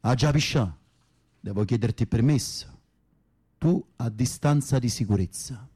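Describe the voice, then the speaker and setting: A man acting in a radio play as a gruff, impatient young mob foot-soldier in prison, with a Neapolitan accent and blunt, slangy speech. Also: medium pitch, a little slow, a little mellow. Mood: irritated.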